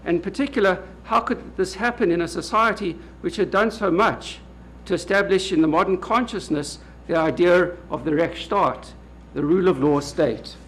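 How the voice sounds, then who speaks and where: A man from South Africa with a South African accent, giving a formal lecture to an audience of lawyers in a measured way, pausing often on "uh". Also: sits high, a bit bright, a little hiss or hum. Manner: measured, many hesitant "uh" fillers. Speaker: legal academic speaker. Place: lecture hall at a public event.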